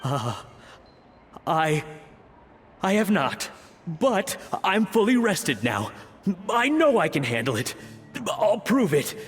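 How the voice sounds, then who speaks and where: An earnest, insecure dad and former chef from a video game. His voice sits a little high, hesitant and self-doubting, with a long reverb around it. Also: sing-song, slow pace, long pauses, faint music behind. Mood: hesitant resolve.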